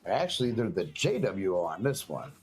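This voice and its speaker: A man's voice: sits low, very animated, normal speed, a little hiss or hum. A man giving casual, slangy and sometimes profane wrestling commentary as a guest co-commentator.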